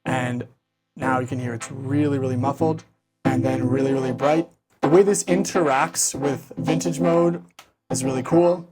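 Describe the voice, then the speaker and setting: A man's voice: mid-range voice, fairly even intonation, moderate pace, over loud music, rough recording. An enthusiastic, chatty man hosting a casual synth walkthrough, speaking close into a studio condenser mic. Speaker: host of synth demo channel.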